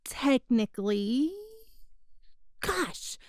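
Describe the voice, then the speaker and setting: A young cat-girl burglar, played by a woman, sheepish and flustered, answering back when caught. The voice sits high and the words come slowly and unhurried. It is recorded close and dry, with no room sound. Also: expressive, darkish tone, smooth, no room sound. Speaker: ASMR roleplay performer. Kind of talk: ASMR audio roleplay.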